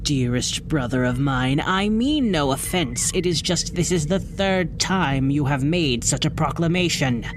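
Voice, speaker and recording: A woman playing a giant armored reptilian warrior in an audio drama, formal, measured and courteous but doubting. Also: deep voice, animated, noisy background. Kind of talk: audio drama villain acting.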